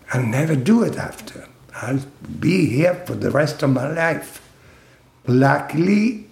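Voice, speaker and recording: Older man, slow and gravelly. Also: a little high, a little mellow, roomy sound. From Italy. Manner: slow, halting storytelling with fillers and laughs. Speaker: interviewee. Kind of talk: oral history interview.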